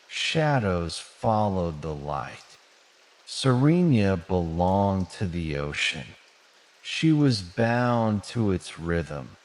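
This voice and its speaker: A man reads a bedtime tale at an extremely slow pace, gently and soothingly. His fairly low voice rises and falls with measured storybook drama, carried in a long reverb.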